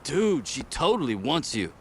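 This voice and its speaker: Laddish racer, lively and highish. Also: male voice, unhurried, heavy background noise. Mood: casual, teasing. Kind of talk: video game cutscene acting.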